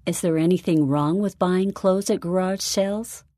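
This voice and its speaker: A gentle, patient mother from a children's story, acted by a woman. Her voice is slightly low and mellow, understanding in manner, in a dry recording. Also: moderate pace, no room sound, close to an everyday voice. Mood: sympathetic. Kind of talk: children's story dialogue reading.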